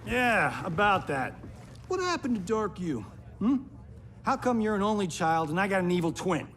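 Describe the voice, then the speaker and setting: With some noise behind, a brash young American movie star in a game. His voice is high for a man and swings widely, theatrical and flippant. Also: very animated, normal speed, fairly bright tone.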